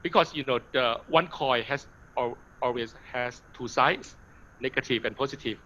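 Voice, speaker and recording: A man giving an online lecture, pausing often with fillers. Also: medium pitch, sharp tone, slight background noise, low-quality recording. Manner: hesitant, many fillers, slides in Thai.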